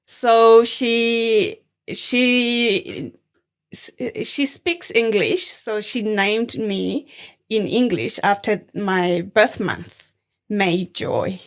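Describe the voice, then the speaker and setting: A young woman from Thailand, a Karen refugee, speaking slowly and reflectively as a guest in an interview. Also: mid-range voice. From born in Thailand, Karen refugee. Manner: slow, measured and reflective, with gentle laughs. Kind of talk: conversational interview.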